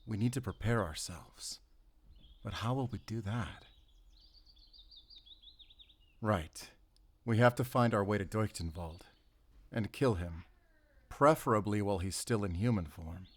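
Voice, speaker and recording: Man acting a low-voiced, weary monster hunter. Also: moderate pace, natural voice. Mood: grim, thoughtful. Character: weary, determined male German werewolf hunter. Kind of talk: cinematic audio roleplay acting, addressing a silent companion. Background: cinematic ambient sound effects.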